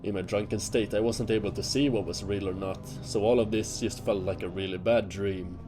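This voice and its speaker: A man playing a self-deprecating seafaring monster hunter who tells his tale in a deliberate, theatrical way in an audio drama. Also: on the low side, steady tone, natural voice. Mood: tense recounting. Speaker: character telling a campfire tale in an audio drama. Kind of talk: scripted dramatic storytelling. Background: music under the voice.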